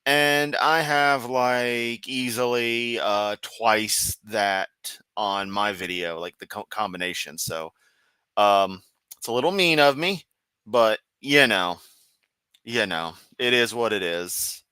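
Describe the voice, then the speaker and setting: A rambling, sarcastic man hosts a live stream, talking half to himself as he clicks around. His voice sits fairly low but has a bright, sharp edge, and his throat is getting sore. The sound is dry and close, with no room around it. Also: slow, clean tone, dry recording. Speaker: livestream host. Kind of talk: casual live-stream commentary.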